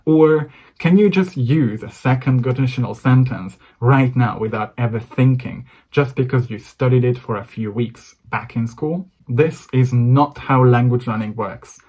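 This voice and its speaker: A man's voice: medium pitch, measured pace, hardly any pauses, a little mellow. Encouraging Hungarian-accented man coaching English. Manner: encouraging, direct address to viewer. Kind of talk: scripted to-camera lesson.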